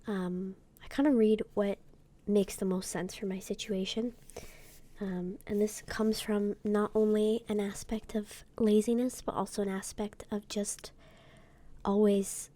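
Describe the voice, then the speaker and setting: A woman whispering softly in an unhurried ASMR style while under the weather. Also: mid-range voice, flat delivery. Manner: soft whispering, gentle and unhurried. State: sick, occasional throat clearing. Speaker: YouTuber. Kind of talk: whispered ASMR tarot deck unboxing.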